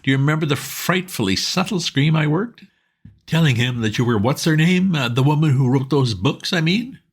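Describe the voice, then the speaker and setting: A man voicing an excitable, lovestruck young gentleman who pleads and gushes in a raised voice. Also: fairly high pitch, normal speed, slightly rough, voice pushed up. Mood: excited. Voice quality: eager, pleading, gushing.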